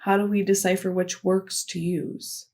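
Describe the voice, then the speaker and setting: A woman voicing a signed conference keynote into spoken English, delivering it in short, measured phrases with pauses. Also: mid-range voice, level tone, a little mellow. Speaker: sign language interpreter voicing a keynote. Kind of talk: simultaneous interpretation of a conference talk.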